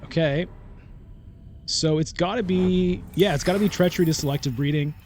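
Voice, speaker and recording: A man giving casual game commentary as a let's-play host, thinking aloud in a halting, deliberating way and often questioning himself. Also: on the high side, a little mellow, slight background noise. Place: solo recording of a digital board game playthrough.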